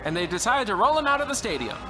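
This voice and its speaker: A man playing an excitable, melodramatic baseball radio announcer with a theatrical, exclamatory delivery in an old-time radio comedy. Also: high voice, racing, crowd noise. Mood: melodramatic, frantic. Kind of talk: old-time radio sports commentary parody.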